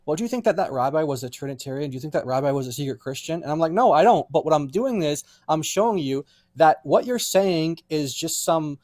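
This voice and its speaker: A man's voice: a little high, lively intonation, a little fast, few pauses, darkish tone, no echo, studio quality. A man co-hosting a casual discussion, insisting on his points and repeating them for emphasis.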